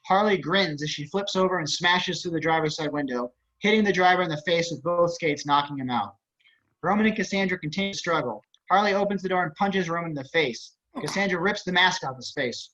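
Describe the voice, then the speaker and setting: Bright, high-voiced male script narrator. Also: a little fast. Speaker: stage directions reader. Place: indoors, on a video call. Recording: dull video-call audio.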